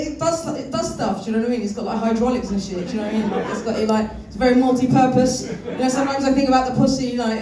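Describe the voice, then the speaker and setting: Crude London woman doing stand-up. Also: fairly high pitch. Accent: London English. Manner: crude, loose, chatty, swears a lot. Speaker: stand-up comedian. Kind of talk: stand-up comedy set. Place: comedy club stage with live audience. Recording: echoey club room, mic at a distance, audible room noise.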